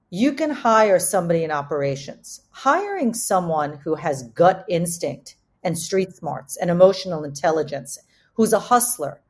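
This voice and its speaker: A woman with a slightly low voice talks candidly about business. She is emphatic and motivational, like a founder addressing peers, and she restates phrases for stress. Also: breathy edge. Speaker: startup co-founder, panel speaker.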